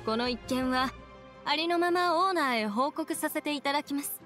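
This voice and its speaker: An actress playing a polite, composed young teahouse attendant in a Japanese video game, formal and deferential. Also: female voice, high voice, moderate pace, a bit bright, soft background music. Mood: courteous.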